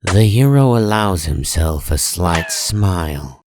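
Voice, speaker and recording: A man narrating a comic dub in a measured, solemn and dramatic scripted style. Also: low voice, animated, heavy background noise.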